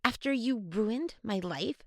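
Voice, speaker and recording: A woman's voice: a little high, animated, slow pace, a bit bright, dry recording, studio quality, voice pushed up, exaggerated voice. A woman voicing a proud, fiery, wounded teenage girl in a young adult fantasy audiobook. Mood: scornful. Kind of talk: audiobook character dialogue.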